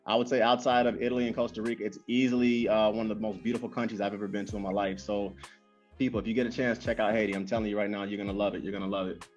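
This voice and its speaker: A man hosting a casual interview, talking quickly and loosely with many fillers and repeated phrases. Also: on the low side. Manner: fast, animated, lots of fillers and repeats. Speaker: host. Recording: dull lo-fi sound with faint music.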